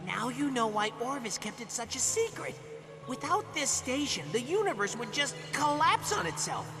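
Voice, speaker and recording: A man playing an excitable, loyal and nervous small robot assistant in a video game cutscene, gushing and deferential. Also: very high voice, normal speed, music in the background. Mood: confiding.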